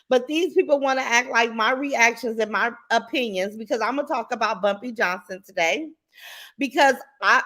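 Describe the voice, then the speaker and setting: A woman hosting a casual livestream reaction, greeting viewers one by one and calling them baby and sis, her voice stuffy and congested from a cold. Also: a little high. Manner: warm sing-song greetings, calls viewers baby and sis.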